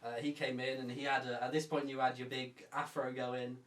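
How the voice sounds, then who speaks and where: A man's voice: mid-range voice, little pitch movement, brisk, fairly bright tone, far from the mic. Young man, chatty, self-mocking, echoey room, off-mic. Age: young adult. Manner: chatty, self-mocking, rambling with many fillers. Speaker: podcast co-host. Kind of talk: casual chat. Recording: roomy, from off-mic.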